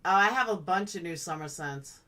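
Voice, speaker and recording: A woman's voice: lowish voice, animated, a little fast, bright, forceful tone, distant mic. A woman live-streaming in a blunt, chatty, playful way, casually answering comments as they come in.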